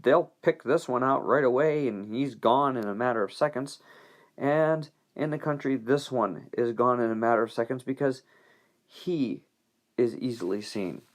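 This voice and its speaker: Guy teacher explaining stuff to his class, mid pitch, folksy and chatty, little jokey asides. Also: moderate pace. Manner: folksy, conversational, playful asides. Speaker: biology teacher. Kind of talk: informal recorded lesson narration.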